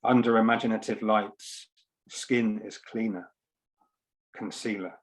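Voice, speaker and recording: A man reading poetry and introducing it in a measured, deliberate way. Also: fairly low pitch, steady tone, poor audio. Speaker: poet reading at a book launch. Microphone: online video call.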